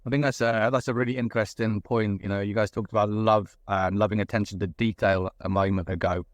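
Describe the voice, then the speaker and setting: A man's voice: lowish voice, fairly even intonation, a little fast, no room sound. A man asking questions as an interviewer in a casual, conversational style.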